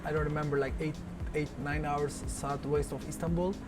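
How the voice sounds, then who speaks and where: A man with a Turkish accent talks about his home city in simple, halting English. He pauses often, restarts sentences and searches for the right words. Music plays clearly behind him. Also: on the high side, level tone. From Turkey, Antalya. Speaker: local guest. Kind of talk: casual interview answers.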